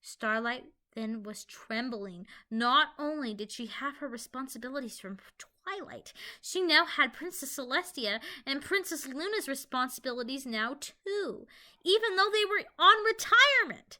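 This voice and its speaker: A woman's voice: sits high, expressive, measured pace, bright, forceful tone. A woman narrating a fan fiction audio play in a storytelling style.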